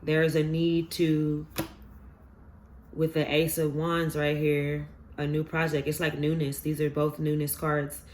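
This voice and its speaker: A man's voice: on the high side, completely flat delivery, a little slow. Stuffy-voiced man doing a loose, rambling tarot reading; a faint hiss sits under his voice. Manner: rambling, filler-heavy, earnest. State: stuffy from a sinus infection. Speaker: tarot reader. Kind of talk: casual collective tarot reading.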